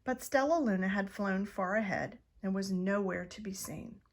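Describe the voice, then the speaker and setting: A woman reading a children's picture book aloud in an expressive, dramatised way. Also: medium pitch, moderate pace. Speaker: storybook reader.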